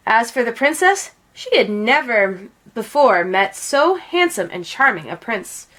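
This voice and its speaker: A woman reading a children's picture book aloud as an expressive storyteller. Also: a little high, a little slow. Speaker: read-aloud storyteller, teacher.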